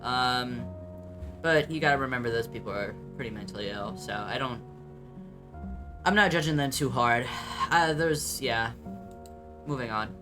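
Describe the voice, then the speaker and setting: A young man with a light, mid-pitched voice that has a touch of bass. He chats casually and bluntly, mocks himself, and swears without a second thought. Music plays under his talk. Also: moderate pace, pauses often. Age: young adult. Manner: chatty, blunt and self-mocking, swears freely, many ums. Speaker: vlogger reacting to his old videos. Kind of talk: casual reaction commentary.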